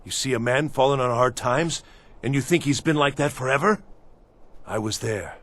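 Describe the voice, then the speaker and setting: An actor playing a bitter, down-on-his-luck old army veteran in a fantasy game, with a husky, rough voice. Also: medium pitch, moderate pace, fairly bright tone, natural voice. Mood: indignant, bitter. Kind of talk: fantasy game character acting.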